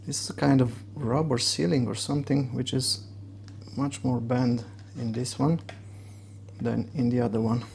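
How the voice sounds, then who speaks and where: Mellow, gravelly Romanian-accented man. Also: mid-range voice, steady tone, slight background noise. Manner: halting, searching for words. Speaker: motorcycle gear reviewer. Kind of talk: casual unboxing and demo talk.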